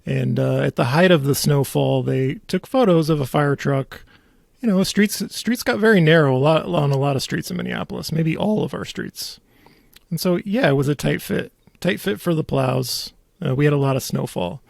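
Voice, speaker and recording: A man's voice: fairly high pitch, normal speed, vocal fry, no room sound, clean studio sound. A man hosting a local politics show, delivering indignant, opinionated commentary with emphatic repetition. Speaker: podcast host.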